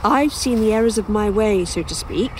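A woman's voice: medium pitch, moderate pace, a little hiss or hum, close to an everyday voice, rough recording. A woman playing an inquisitive, wry author in an audio drama, rambling and musing as she talks. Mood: defiant, wry.

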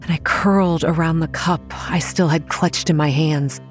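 A dazed young woman played by an actress tells her own horror story in an audio drama. Her delivery is measured, introspective and haunted, with faint music underneath. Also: deep voice, fairly even intonation, close to an everyday voice. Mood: tense, fearful. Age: young adult. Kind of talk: first-person horror drama narration.

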